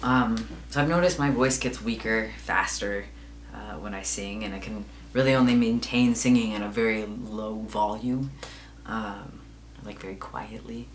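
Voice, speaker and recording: A man's voice: fairly low pitch, fairly even intonation, unhurried, a little hiss or hum. A young man chatting casually in a video diary, rambling with plenty of fillers. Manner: rambling, chatty, lots of ums. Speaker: vlogger.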